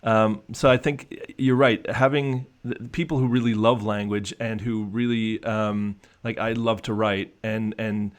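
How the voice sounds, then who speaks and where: Male coach, low voice, casual and reflective, restarts often. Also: steady tone, measured pace, few pauses. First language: English. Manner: reflective, many restarts and fillers. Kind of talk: casual conversational discussion.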